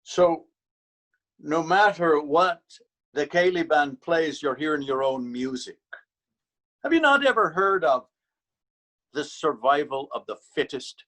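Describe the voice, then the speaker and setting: A man's voice: fairly high pitch, expressive, unhurried, pauses often, a bit bright, low-quality recording. An actor in a readers theater drama playing a stern, weary policeman, admonishing and authoritative. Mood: lecturing. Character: stern, weary Irish male beat policeman, bullying. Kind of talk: readers theater stage acting.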